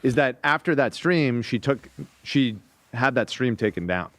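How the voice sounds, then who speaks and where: A man's voice: mid-range voice, animated, measured pace, a bit bright, no room sound. A man chatting casually as a talk show panelist, explaining things in a conversational way.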